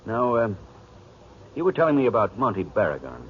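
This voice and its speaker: An actor playing a probing, relentless police inspector in 1940s radio acting style. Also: male voice, fairly low pitch, big pitch swings, a little fast. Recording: muffled, noisy 1940s radio broadcast.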